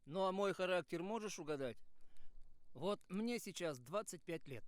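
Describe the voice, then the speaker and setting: A man's voice: high-pitched, lively intonation, moderate pace, no room sound. An actor playing a curious, informal Red Army soldier in his twenties, prodding with questions in a naturalistic film style. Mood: curious, teasing. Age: 20s.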